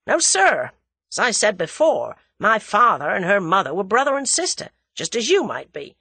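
A woman's voice: medium pitch, huge pitch swings, normal speed, sharp tone, husky, no room sound, studio quality, pitched above the performer's own voice, full character voice. A woman voicing a brash, impatient American man in his thirties, blunt and slangy, in a storybook reading. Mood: explaining.

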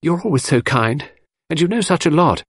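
A man voicing a wistful, teasing young orphaned woman in an audiobook reading, lifting his husky voice into a lilting girlish tone. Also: on the high side, expressive, normal speed, no echo, studio quality, pitched above the performer's own voice.

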